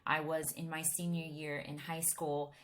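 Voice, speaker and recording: A woman's voice: sits low, little pitch movement, measured pace, a bit bright. A woman in her thirties from Miami gives a casual, conversational personal testimony to camera, often restarting her sentences. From Miami, Florida, United States.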